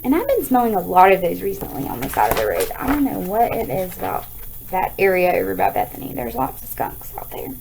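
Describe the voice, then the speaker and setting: A woman's voice: medium pitch, huge pitch swings, a little fast, gravelly, background noise. A woman with an American accent, a school teacher reading aloud to children and chatting through explanations, often checking in with "right?".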